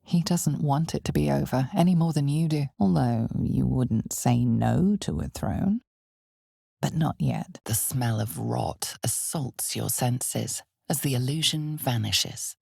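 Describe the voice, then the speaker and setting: Low, husky, ominous fantasy game narrator. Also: female voice, darkish tone, no room sound, studio quality, full character voice. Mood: grave, knowing. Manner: slow, deliberate, ominous. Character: omniscient female narrator of a dark fantasy game, grave and knowing. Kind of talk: dramatic second-person fantasy game narration.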